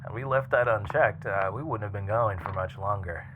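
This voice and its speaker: A man playing a seasoned, flirty and chatty spaceship mechanic in an intimate ASMR roleplay, teasing and breathy, dropping toward a whisper. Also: fairly low pitch, moderate pace, narrow, tinny audio, lightly acted. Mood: musing, chatty. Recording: clearly noisy ambient background hum.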